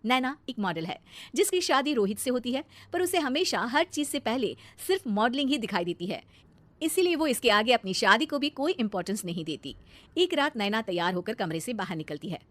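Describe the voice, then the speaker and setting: A woman's voice: a little high, sing-song, fairly quick, a bit bright, no echo. A woman narrating a scripted cartoon moral story as its storyteller.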